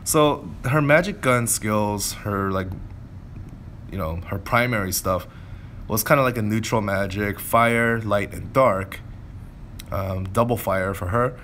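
A man's voice: fairly low pitch, takes it slowly, fairly bright tone, a little hiss or hum. A man giving casual gameplay commentary, informally thinking aloud as he plays. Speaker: gameplay video creator.

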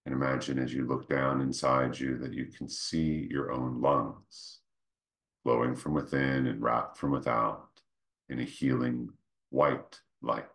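A man leading a guided meditation, speaking gently and without hurry, in short phrases with pauses between them. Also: little pitch movement. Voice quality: very deep, creaky. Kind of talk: guided meditation, slow soothing instruction.

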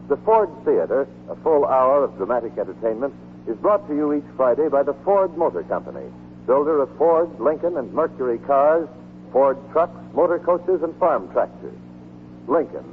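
An American man announces in scripted 1940s radio style, with very lively intonation. The broadcast sound is tinny, with some hum and noise. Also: medium pitch.

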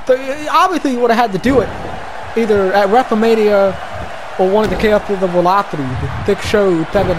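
A young man giving shouted, animated play-by-play of a wrestling video game, his voice getting hoarse. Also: high voice, noisy background. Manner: shouting, animated, often rising to yells. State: losing his voice. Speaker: commentator.

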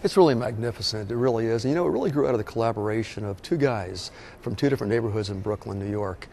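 A man guiding a walking tour and explaining local history in a measured, informative way. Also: fairly low pitch, creaky, a little mellow, a little hiss or hum. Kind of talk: scripted walking-tour narration. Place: outdoors on a beach boardwalk, later a hotel lobby.

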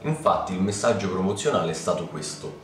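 A young man from Italy presenting anime news to his viewers in a chatty, excitable way, recorded at home on a camera mic. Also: sits low, fairly quick. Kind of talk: casual news vlog. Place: home room with keyboard and lamp. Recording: roomy, slightly distant mic with faint music.

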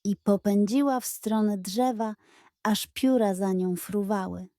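A woman's voice: mid-range voice, fairly even intonation, measured pace, warm tone, no room sound, studio quality. A woman storyteller reading a scripted children's bedtime tale with expression.

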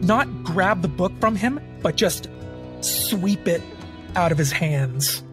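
A man's voice: sits high, lively intonation, slow, fairly bright tone, soft background music. A male player describing his character's actions in a tabletop roleplaying game.

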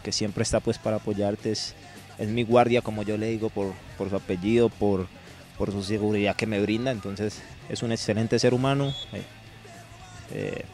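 Low-voiced man, halting. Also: steady tone, a little mellow, faint music behind. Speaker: teammate, footballer. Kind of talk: interview answers.

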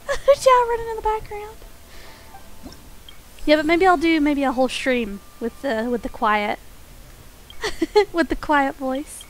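A woman streaming a game, chatting casually and softly, a little nasal, her voice pitched up, with small laughs between remarks. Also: animated, slow, pauses often, rough recording. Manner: soft-spoken, chatty, frequent small laughs; voice pitched up from her natural register.

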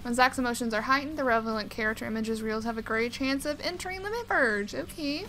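A woman's voice: fairly high pitch, quick pace, pushed and bright. A woman giving casual let's play commentary and reading game text aloud. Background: game music.

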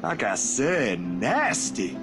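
A man voicing a laid-back, earnest young friend in a visual novel, easygoing and expressive. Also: medium pitch, takes it slowly, music in the background, exaggerated voice.

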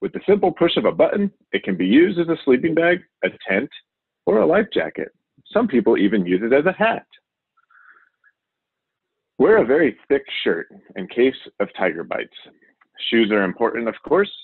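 A man voicing a wild, overconfident male TV survival show host from a children's storybook, excitable and showy. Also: medium pitch, moderate pace, creaky, pauses often, husky, no room sound, voice pushed up, full character voice. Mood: confident, instructive. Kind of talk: children's storybook character reading. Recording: narrow, phone-like audio.

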